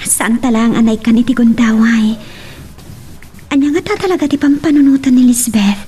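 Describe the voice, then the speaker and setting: A woman acting a humble young woman, hesitant and worried, speaking softly with an Ilocano accent in a radio romance drama. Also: on the high side, normal speed, a little hiss or hum, close to an everyday voice. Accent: Ilocano, Philippines.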